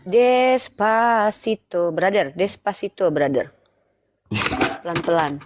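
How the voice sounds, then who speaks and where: A woman's voice: mid-range voice, animated, slow, dry recording. A woman, speaking didactically in a live online religious debate and putting rhetorical questions to listeners. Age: 30s.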